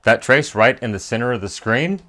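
A man's voice: mid-range voice, a little fast, sharp tone. A man hosting a hands-on repair tutorial, talking methodically step by step and thinking aloud as he works. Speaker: repair channel host. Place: indoor electronics workbench.

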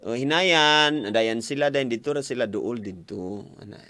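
A man's voice: medium pitch, moderate pace, bright, forceful tone. A young Filipino man with a Cebuano accent, hosting a casual call-in radio chat in a playful, teasing way on a studio mic. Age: young adult. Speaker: radio host. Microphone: boom-arm studio mic, headphones. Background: music playing.

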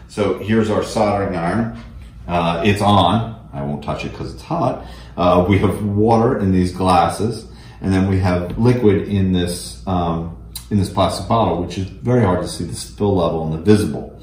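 Male technical presenter, American, middle-aged, very low voice, recorded with plenty of room echo. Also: normal speed, darkish tone, a little hiss or hum. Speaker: company representative presenting technical products. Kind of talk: technical product demo and explanation. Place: indoors in a small office meeting room. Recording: echoey room.

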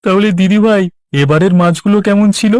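A man's voice: high voice, fairly quick, darkish tone, clear, resonant tone, no room sound, clean studio sound, close to an everyday voice. A man voicing an eager, deferential village fish seller in a cartoon, with an obliging manner. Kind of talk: Bengali cartoon voice acting.

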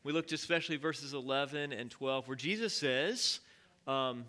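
A man leading a Bible study in church, teaching in a relaxed, conversational way with many fillers and questions to the congregation. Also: medium pitch, moderate pace. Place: church sanctuary.

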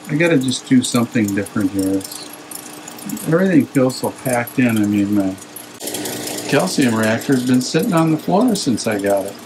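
A man's voice: mid-range voice, a little mellow, slight background noise, low-quality recording. A middle-aged man giving a casual, rambling walkthrough of his home fish room, hesitating with lots of fillers, filmed on a handheld phone indoors.

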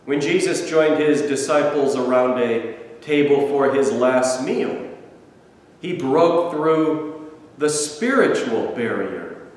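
A man in his sixties, a pastor giving a measured, emphatic sermon with deliberate pauses, filmed by a camera across a small church sanctuary. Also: mid-range voice, animated. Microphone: far camera. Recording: echoey church from far off.

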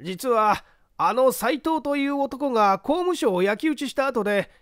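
A man's voice: extremely high pitch, lively intonation, a little slow, a bit bright, clear, resonant tone, dry recording, clean studio sound, pitched above the performer's own voice, exaggerated voice. A man voicing a polite, earnest works foreman explaining himself under questioning in a mystery story. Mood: earnest, deferential. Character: deferential male works foreman answering an interrogation.

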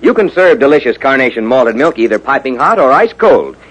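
A man with an American accent, a polished, formal 1950s radio announcer delivering sponsor pitches. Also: very animated, brisk, fairly bright tone, slightly rough, highs cut off. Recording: vintage 1950s radio broadcast.

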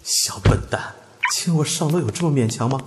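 A man playing a sly, teasing young male office manager in an audio drama, smooth-talking. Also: fairly high pitch, moderate pace, background noise, close to an everyday voice. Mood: teasing, caring.

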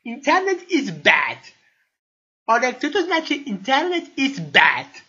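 A woman's voice: sits high, wildly swooping pitch, slow, bright, forceful tone, highs cut off, full character voice. A woman playing an exasperated female English teacher in an amateur comedy audio sketch, whining and grumbling as she scolds her class. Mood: flustered, exasperated.

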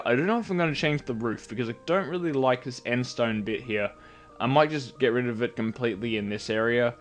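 Stuffy-nosed man chatting over gameplay. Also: mid-range voice. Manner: rambling, chatty, with bursts of excited yelps. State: nasal from a cold, coughing. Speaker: gameplay commentator. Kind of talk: casual game commentary.

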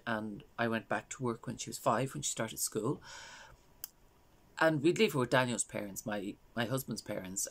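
A woman with an Irish accent playing a grieving working mother in a stage monologue, confiding in a halting, understated way. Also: extremely low pitch. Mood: plain, fond. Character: grieving working mother haunted by her loss. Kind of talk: dramatic stage monologue, naturalistic.

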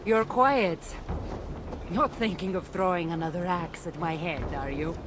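A woman's voice: fairly low pitch, measured pace, noisy background, natural voice. An actress playing a wry, seasoned woman adventurer in a video game, teasing and playful but earnest when giving warnings. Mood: wry, reflective. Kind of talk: video game cutscene acting.

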